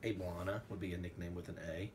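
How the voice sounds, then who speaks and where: A man's voice: deep voice, little pitch movement, fast talker, background noise. A man, a father, reading a book aloud to a child in a casual, playful way with asides. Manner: playful, breaks into song and asides. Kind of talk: casual read-along of a picture book with sung snippets.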